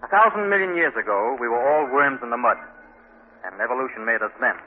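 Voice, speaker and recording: An actor playing an earnest, idealistic poor young architect from the tenements, impassioned and speechifying in 1940s radio drama style. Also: male voice, medium pitch, expressive, quick pace, phone-quality sound, natural voice. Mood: earnest, preaching. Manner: impassioned, speechifying about hopes and hardship. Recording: thin, noisy 1940s radio recording.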